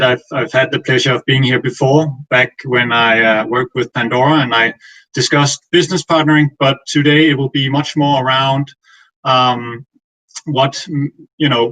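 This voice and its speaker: A man's voice: mid-range voice, pushed and bright, low-quality recording. A man with a Danish accent gives a semi-formal conference presentation as a guest speaker, often restarting his phrases. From Denmark. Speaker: guest speaker, finance executive.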